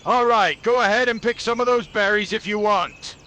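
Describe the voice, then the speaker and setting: A man's voice: high voice, normal speed, bright, forceful tone, a little hiss or hum, pitched above the performer's own voice. A man voicing a watchful hike companion calling out instructions in an ASMR story. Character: watchful male hike companion giving cautious permission. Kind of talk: ASMR character roleplay. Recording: nature ambience behind voice.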